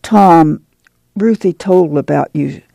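A man's voice: fairly high pitch, slow, vocal fry, mellow, no echo. A man voicing a worried older mother who is tender but firm, speaking in plain rural talk. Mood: uneasy. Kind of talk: audiobook character reading.

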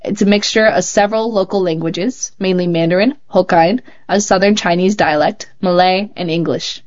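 A woman hosting a show, reading young writers' poems and essays aloud in a calm, measured way. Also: lowish voice. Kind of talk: measured read-aloud narration. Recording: slightly muffled, dull sound.

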